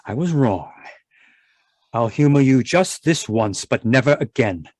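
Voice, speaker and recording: A man's voice: mid-range voice, animated, a little slow, darkish tone, no room sound. Guy playing bossy cranky middle-aged husband, old radio play style, voice jumps up and down, dry sound. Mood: scornful. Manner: contemptuous, blustering. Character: irritable, domineering middle-aged scientist husband. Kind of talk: old-time radio drama acting. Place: indoors, live video call performance.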